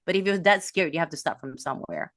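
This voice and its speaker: A woman from a Malaysian background, a business coach, talking in an animated way with quick bursts and restarts. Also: deep voice, no room sound, clean studio sound. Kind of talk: casual interview talk.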